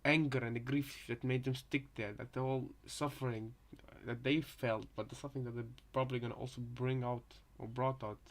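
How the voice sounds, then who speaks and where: Flat-toned, tentative man chatting casually. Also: mid-range voice, a little fast, hardly any pauses. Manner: tentative, hesitant, restarts. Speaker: co-commentator reacting to voice lines. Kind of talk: casual chat.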